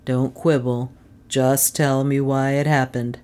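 Gruff male detective as acted by a woman: low, unhurried, bullying and sarcastic, for a mystery audiobook. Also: flat delivery, darkish tone. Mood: gloating. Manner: gloating, badgering.

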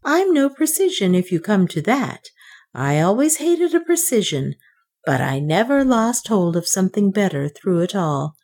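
A woman voicing a feverish older male banker who talks in wheedling, unreal tones and sounds excited and tremulous. She reads him in a storybook setting. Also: high voice, normal speed, heavily acted. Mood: pleading.

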